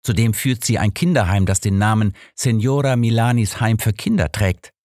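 A man's voice: lowish voice, normal speed, darkish tone, dry recording, studio quality, pitched above the performer's own voice, natural voice. A man voicing an earnest detective's companion. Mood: excited, proud. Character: earnest, excitable male doctor, the detective's loyal companion. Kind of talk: audiobook character dialogue.

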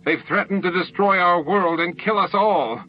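A man's voice: sits high, moderate pace, highs cut off, a little hiss or hum, voice pushed up, lightly acted. A man voicing a panicked leader of a threatened world in a sci-fi audiobook, urgent and snapping. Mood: alarmed.

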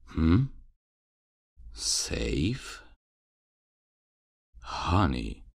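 A soothing father at bedtime, played by a man, in an ASMR roleplay. His voice is extremely deep and gravelly, he speaks very slowly, and his intonation moves lively up and down. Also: full character voice. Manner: soothing and reassuring, calls the listener honey. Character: gentle caring father at bedtime.

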